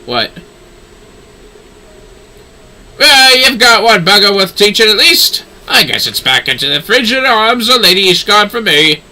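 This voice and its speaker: Man doing a surly sailor, sharp-voiced. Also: sits high, very animated, normal speed, exaggerated voice, poor audio. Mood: grudging. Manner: coarse, slangy, grumbling. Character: blunt, surly, hard-drinking sailor turned gunnery instructor. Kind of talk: fantasy game dialogue read aloud.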